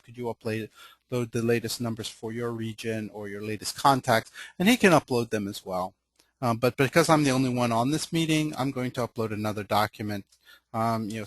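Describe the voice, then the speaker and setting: A man presenting a webinar, explaining a software demonstration informally, in a conversational way with hesitant, pausing phrasing. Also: medium pitch, dry recording.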